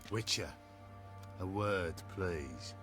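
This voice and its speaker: A guarded retainer in a video game, plain and rustic. His voice is fairly low and unhurried, in short clipped phrases, with music behind. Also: expressive, heavily acted. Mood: wary. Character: plain-spoken, guarded male retainer of a baron.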